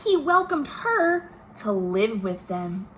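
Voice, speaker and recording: Slow, higher-voiced woman reading to children. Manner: expressive storytelling with asides and questions to young listeners. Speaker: children's librarian hosting virtual storytime. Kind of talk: read-aloud picture book narration for children. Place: at home. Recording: noisy home recording.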